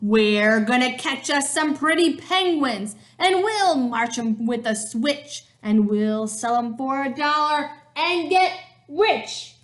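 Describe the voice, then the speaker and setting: A woman voicing rough, greedy storybook hunters with a growly, menacing, rhythmic chant. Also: on the high side, animated, slow pace, roomy sound. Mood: boastful, menacing.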